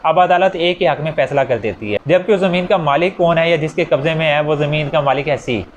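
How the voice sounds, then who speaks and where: A man's voice: on the high side, brisk, muffled recording, a little hiss or hum. A man with a Pakistani accent giving an informal step-by-step law lecture, repeating key phrases and mixing in English legal terms. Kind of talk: explanatory lecture with worked examples.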